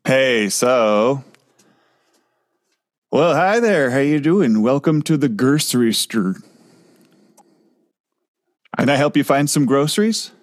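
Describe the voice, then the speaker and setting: A man's voice: medium pitch, animated, takes it slowly, long pauses. A man playing an over-eager grocery clerk who is proud of his trendy store, welcoming and a little flustered in an improv scene. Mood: chipper. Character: chipper male grocery clerk, overly trendy.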